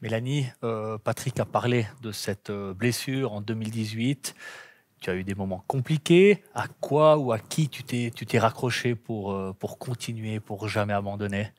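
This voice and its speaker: Un homme d'une trentaine d'années qui anime une émission télé et pose ses questions de façon décontractée, avec des hésitations. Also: male voice, lowish voice, moderate pace, a little mellow, no echo. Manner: casual, hesitant questions with fillers. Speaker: host. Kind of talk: TV interview questions. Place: TV studio set.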